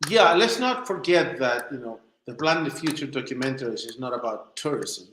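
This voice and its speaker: A man's voice: mid-range voice, lively intonation, moderate pace, a bit bright, slight background noise. Echoey man, searching for words. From Chile and southern Spain. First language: Spanish. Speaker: interview guest, documentary maker. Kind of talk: informal conversational interview answers. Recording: roomy, echoing sound.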